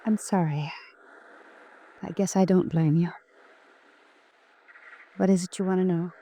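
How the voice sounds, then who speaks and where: A woman's voice: on the low side, fairly even intonation, measured pace, dark tone, a little hiss or hum. An actress playing a coaxing, evasive American society wife in her early thirties in a 1950s radio drama.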